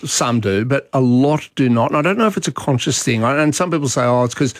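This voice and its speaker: A man's voice: mid-range voice, fast, no room sound, studio quality. A man in his fifties with an Australian accent, hosting a conversational talk radio show with callers.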